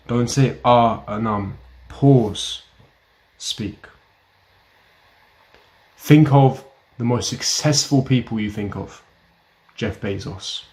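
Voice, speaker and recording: Young guy vlogging advice, kinda low voice, blunt and pushy, keeps repeating himself. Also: darkish tone. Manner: blunt, emphatic and repetitive, lecturing the viewer. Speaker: self-help vlogger. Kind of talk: direct-to-camera advice talk.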